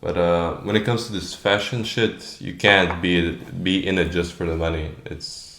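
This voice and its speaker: Deep-voiced young Latvian man, echoey room. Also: fairly even intonation, moderate pace, a bit bright. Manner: informal, slangy. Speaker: clothing brand founder. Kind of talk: casual Q&A chat.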